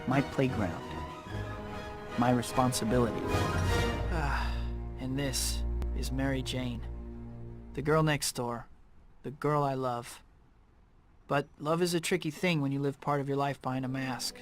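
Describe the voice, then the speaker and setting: An actor playing an earnest, wry young American student who is secretly a superhero, in scripted game cutscenes. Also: male voice, medium pitch, steady tone, a little slow, long pauses, lightly acted. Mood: wry, reflective. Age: young adult. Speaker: hero and narrator. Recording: music behind the voice.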